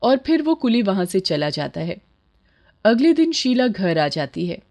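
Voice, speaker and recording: Woman storyteller with a medium-pitched voice. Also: very animated, measured pace, a little mellow. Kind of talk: scripted moral bedtime story narration.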